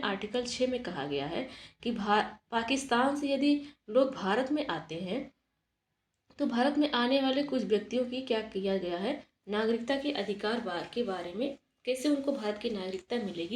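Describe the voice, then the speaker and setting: A woman's voice: a little high, reverberant. A woman teacher giving an exam-prep lesson, explaining steadily and asking and answering her own questions.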